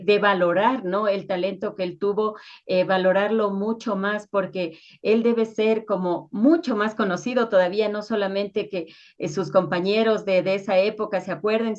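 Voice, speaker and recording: A woman's voice: medium pitch, a little mellow, dull audio. A woman interviewing in a conversational, affirming and discursive way.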